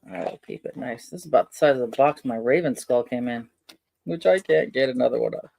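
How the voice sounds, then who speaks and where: A middle-aged man with a soft voice, chatting casually while he unpacks and crafts. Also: mid-range voice, quick pace, creaky, a little hiss or hum. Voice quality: soft-spoken. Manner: rambling, chatty, many fillers and restarts. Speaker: vlogger unboxing and crafting.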